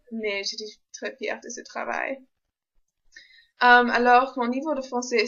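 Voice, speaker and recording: A young woman whose first language is English chats in a casual vlog. She talks slowly and hesitantly, often pausing on fillers while reaching for the right word. The recording sounds a little dull and lo-fi. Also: medium pitch, a bit bright. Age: young adult. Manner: hesitant, frequent "euh" fillers, searches for words. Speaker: university student vlogger.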